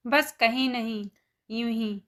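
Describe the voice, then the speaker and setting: A shy young daughter-in-law in an animated family story, played by a woman. She speaks very slowly in a slightly high voice, soft, polite and dutiful. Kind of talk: animated moral story dialogue.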